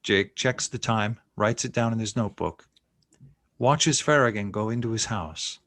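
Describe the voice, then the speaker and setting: A man's voice: lowish voice, normal speed, fairly bright tone, no echo, studio quality. A man reading scene headings and action lines as narrator of a screenplay table read. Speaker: narrator reading stage directions.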